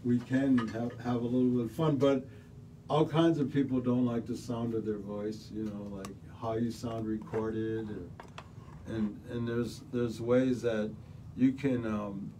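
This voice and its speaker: A middle-aged man talking casually in a small radio studio, relaxed and rambling with many fillers. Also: mid-range voice, a little slow, a little hiss or hum. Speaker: studio guest musician. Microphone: studio mic on stand, headphones on. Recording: slightly distant mic.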